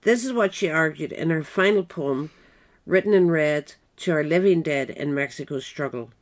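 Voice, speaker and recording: A woman narrator reading a scripted political essay in a measured, written style. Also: deep voice.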